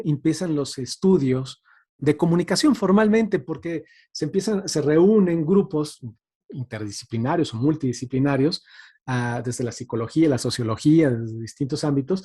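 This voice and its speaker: A man's voice: fairly high pitch, mellow, breathy edge. A Mexican-accented man lecturing informally, reflective and hesitant, often checking in with tag questions. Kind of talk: informal academic talk.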